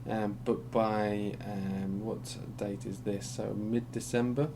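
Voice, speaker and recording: Lowish croaky guy rambling over crypto charts, lots of ums, hum in the background. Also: flat delivery, slow. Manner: rambling, hesitant, many ums and restarts. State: croaky voice from being unwell. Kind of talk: casual screen-share market commentary.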